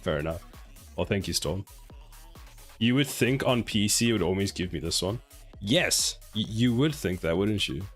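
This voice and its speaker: A South African man in his forties streams his game development live, reading viewers' comments aloud and answering in a rambling, self-mocking way. His voice sits fairly low. Soft music plays faintly behind him. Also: animated, normal speed. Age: 40s. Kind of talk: casual live stream chat while coding.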